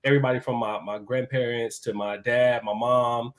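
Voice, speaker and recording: A man, a guest chef talking about himself in a relaxed, chatty podcast interview. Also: medium pitch, normal speed. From Chicago. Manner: laid-back, talkative, easy laughs.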